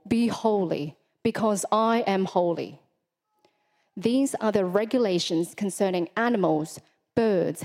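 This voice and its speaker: A woman reading scripture aloud in church in a measured, formal way. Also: mid-range voice, a little mellow. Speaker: scripture reader.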